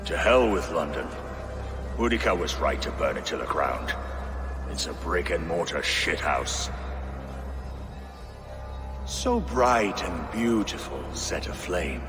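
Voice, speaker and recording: A man's voice: low-pitched, expressive, slow, pauses often. A man playing a bitter, defiant villain of a secret order in a video game cutscene, full of menace. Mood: bitter scorn. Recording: long reverb, music behind.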